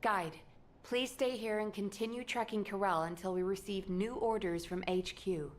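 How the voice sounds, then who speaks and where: An actress playing a composed female squad leader who gives firm, measured commands. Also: mid-range voice, a little hiss or hum, close to an everyday voice. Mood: commanding.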